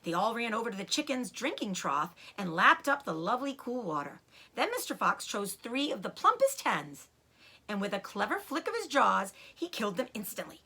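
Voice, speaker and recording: A woman storyteller reading a children's book aloud in an expressive narrating style with dramatic emphasis. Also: a little high, normal speed, breathy edge.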